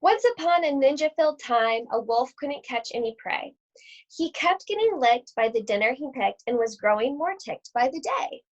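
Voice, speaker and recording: A woman reading a children's picture book aloud to schoolchildren in an animated, theatrical storytelling manner. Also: high voice, normal speed. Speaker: read-aloud host at an elementary school. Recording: dull, lo-fi sound.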